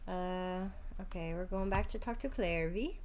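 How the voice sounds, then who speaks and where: A woman's voice: lowish voice, unhurried, a little mellow, a little hiss or hum. A young adult woman streaming a game, chatting casually and giggling often.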